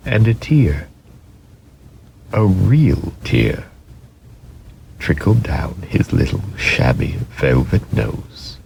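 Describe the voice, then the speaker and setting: A man narrating a children's storybook as an expressive, theatrical dramatic reading. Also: sits low, slight background noise.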